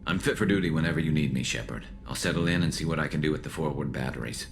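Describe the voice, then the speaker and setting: A man voicing a battle-scarred alien soldier in a video game, loyal and dryly wry, with a gravelly voice. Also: racing. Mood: dry, steady. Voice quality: gravelly, very deep. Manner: dry, wry, clipped. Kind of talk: video game dramatic acting.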